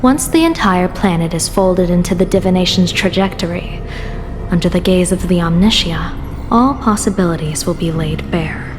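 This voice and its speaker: A woman playing a composed, resolute general in a game, speaking in measured, formal declarations. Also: fairly low pitch, close to an everyday voice. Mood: grave. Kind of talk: dramatic scripted game dialogue. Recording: long hall reverb, loud music behind.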